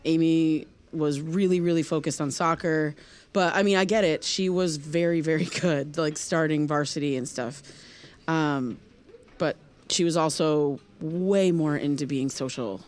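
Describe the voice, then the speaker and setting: A woman playing an awkward, guarded young loner in naturalistic audio drama, speaking in a hesitant, halting way. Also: low voice, a little mellow. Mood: reflective, uneasy.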